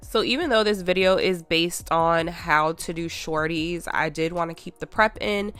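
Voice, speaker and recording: A woman's voice: fairly low pitch, normal speed, fairly bright tone, smooth, soft background music. A nail technician woman narrating a chatty, detailed tutorial voiceover while stuffy with a cold. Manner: chatty, detailed, with many ums.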